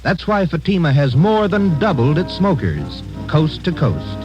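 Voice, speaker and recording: A man's voice: very animated, unhurried, lo-fi sound, over music. A man reading a 1950s radio commercial as an emphatic announcer. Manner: emphatic sales pitch. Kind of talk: 1950s radio advertisement read.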